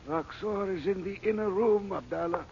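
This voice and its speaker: High-pitched, suspicious doorkeeper. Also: male voice, moderate pace, vocal fry, darkish tone. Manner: challenging, archaic phrasing. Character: suspicious, challenging gate guard, male henchman. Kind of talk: old-time radio adventure acting. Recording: dull, noisy old radio recording, distant.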